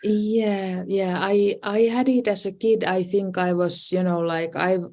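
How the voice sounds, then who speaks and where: A woman's voice: mid-range voice, steady tone, measured pace, a little mellow. A middle-aged woman with a Finnish accent, talking through her life in a casual interview, hesitant, with many restarts and "you know, like" fillers. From Finland. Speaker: design professor and dean, guest.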